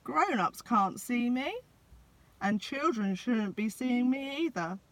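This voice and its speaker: Woman putting on a loud, growly, gruff Santa. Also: fairly high pitch, expressive, slow, no echo, pitched above the performer's own voice, poor audio. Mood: grumpy. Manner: loud, bellowing, emphatic. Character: big gruff old Santa Claus, exasperated, stuck in chimney. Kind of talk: children's storybook read-aloud.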